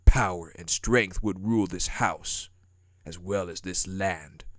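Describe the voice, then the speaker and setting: A man's voice: sits low, takes it slowly, background noise. A man playing a haughty, power-hungry prince in a dramatic audio roleplay, with a contemptuous, menacing and formal delivery. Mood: menacing contempt. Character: cold, haughty, power-hungry prince who scorns weakness.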